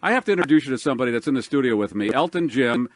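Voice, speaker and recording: A man hosting a talk radio show, bantering with a joking manner and quick asides. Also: mid-range voice, animated, dry recording. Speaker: radio host.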